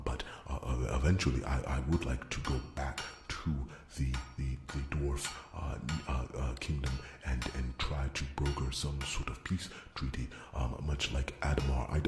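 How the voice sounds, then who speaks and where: A man's voice: a bass voice, roomy sound, noisy background. A man voicing an earnest, wordy dwarf loyal to royalty, stammering and repeating himself deferentially in an ASMR fantasy roleplay. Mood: indignant, petty. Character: rambling, earnest, wounded-pride dwarf smith loyal to royalty.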